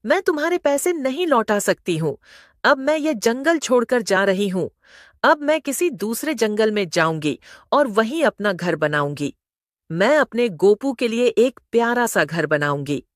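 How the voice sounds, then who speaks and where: Woman voicing an earnest, lively cartoon mother elephant. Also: medium pitch, measured pace, no room sound, clean studio sound, lightly acted. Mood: apologetic. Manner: earnest, explanatory. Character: kind, selfless mother elephant. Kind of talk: Hindi animated moral story dialogue.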